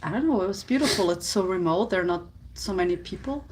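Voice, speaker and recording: A woman's voice: medium pitch, animated, fast talker, darkish tone. A woman with a manner, chatting casually beside her partner on a livestream. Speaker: co-host, hiking partner.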